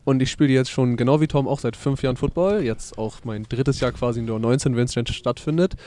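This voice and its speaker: A 19-year-old man talks fast and eagerly about his team. His tone is warm and mellow, and he often restarts mid-thought. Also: medium pitch. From northern Germany. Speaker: junior football player guest. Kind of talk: interview answers.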